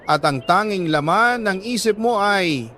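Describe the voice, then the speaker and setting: A man with a Filipino accent reading a listener's letter as a radio drama host, in a sorrowful, deliberate storytelling manner with dramatic pauses. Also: high-pitched, lively intonation, bright, forceful tone, slight background noise.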